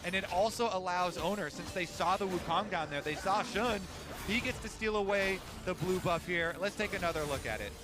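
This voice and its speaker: A man's voice: high-pitched, fairly quick, over music. A man giving conversational live analysis as a commentator on an esports match.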